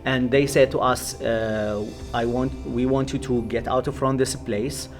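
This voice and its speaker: Man, Iraqi accent, medium pitch, hesitant pastor's testimony, searching for words, music underneath. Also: fairly even intonation, measured pace. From Iraq. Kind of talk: personal testimony in an interview.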